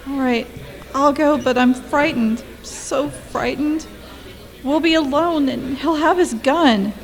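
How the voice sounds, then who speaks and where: Woman acting a terrified, high-pitched radio-thriller wife. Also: slow pace, gravelly, full character voice, poor audio. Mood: fearful. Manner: anxious, breathless melodramatic pleading. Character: terrified, pleading wife in mortal fear.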